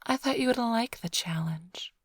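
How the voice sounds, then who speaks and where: A woman playing a flirtatious, smug immortal vampire thief in an ASMR roleplay, teasing the listener in a breathy, half-whispered, languid drawl. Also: a little high, lively intonation, moderate pace, no echo, studio quality. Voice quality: breathy, often sinking into whisper.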